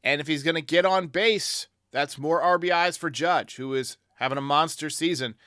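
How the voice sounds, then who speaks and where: A man's voice: a little high, normal speed, pushed and bright, no echo, studio quality. A man hosting a sports podcast, giving commentary in reply to fans. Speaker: podcast host. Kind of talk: sports commentary answering fan voicemails.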